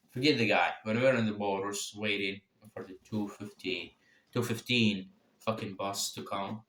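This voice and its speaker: A man's voice: on the low side, fairly bright tone. A young man casually telling a long personal story, rambling, swearing often and repeating himself. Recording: echoey room with faint noise.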